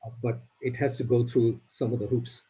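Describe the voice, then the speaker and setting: A man from Uganda, a native Swahili speaker, speaking as a charity trustee on a video call, halting and repeating words as he goes. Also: mid-range voice, flat delivery, warm tone, husky, poor audio. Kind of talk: informal remarks on a video call meeting.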